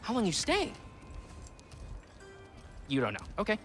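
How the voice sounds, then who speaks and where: An actor playing a friendly, curious, excitable young refugee man in a video game, chatty and awkward, often stopping and starting. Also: high-pitched, a little slow, faint music behind, heavily acted. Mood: curious, amazed. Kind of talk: naturalistic video game acting.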